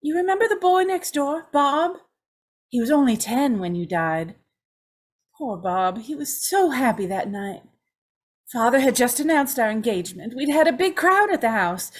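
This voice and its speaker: A woman's voice: sits high, sing-song, normal speed. A woman playing a troubled, pleading young woman in an old-time radio drama, speaking hesitantly and with emotion. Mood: wistful, confiding. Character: distraught young condemned woman confessing to dead mother.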